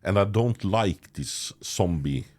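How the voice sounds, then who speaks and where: Very deep-voiced man, halting. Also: lively intonation, a little mellow. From Norway. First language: Norwegian. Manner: halting, many restarts, chuckles mid-sentence. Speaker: podcast host. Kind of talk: conversational interview.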